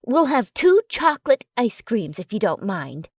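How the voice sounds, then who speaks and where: A woman's voice: a little high, sing-song, a little slow, breathy edge, no echo, studio quality, full character voice. A woman voicing a feisty, blunt, possessive young female werewolf in love, in audiobook dialogue. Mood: spiteful.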